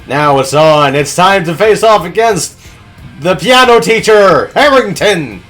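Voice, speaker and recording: A man's voice: high voice, animated, unhurried, fairly bright tone, soft background music. A man hosting a gaming video with casual, chatty and playful gameplay commentary.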